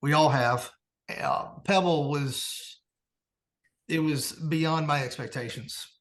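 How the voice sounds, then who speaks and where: A man's voice: on the high side, steady tone, slow. A man telling anecdotes in casual conversation as a podcast guest. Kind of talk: casual chat, storytelling.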